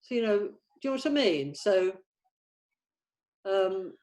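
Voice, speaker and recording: A woman's voice: mid-range voice, expressive, unhurried, darkish tone. A woman with a mid-range voice chats through a script at a read-through. She sounds like she is working out her suggestions as she speaks. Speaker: participant in script table read. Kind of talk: casual script discussion.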